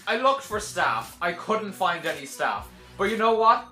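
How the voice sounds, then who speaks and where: A young man telling a casual vlog story to camera in a dramatic, animated way, with sarcastic, self-deprecating asides. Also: sits high, measured pace, soft background music. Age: young adult. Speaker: video blogger telling a personal story. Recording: roomy echo.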